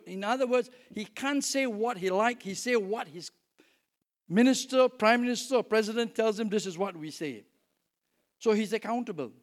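An older man with a Singaporean accent preaching a sermon in a conversational way, often putting questions to his listeners. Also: high-pitched. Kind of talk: sermon with scripture reading and teaching.

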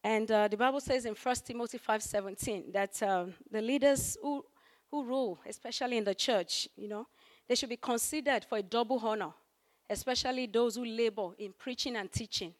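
A woman with a croaky voice preaching to a church congregation, talking in a conversational way with many fillers and rising in force on scripture. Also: on the high side, brisk. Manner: conversational, peppered with "you know" and fillers; rises in force for scripture and emphasis. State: croaky voice recovering from voice loss. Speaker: guest preacher. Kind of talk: sermon.

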